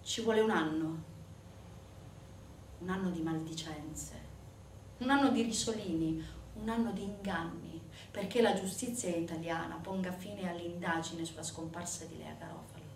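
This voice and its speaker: A woman performing a scripted dramatic monologue as a stage narrator, with measured, weighted phrases. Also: fairly low pitch, slight background noise. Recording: roomy, distant sound with faint music.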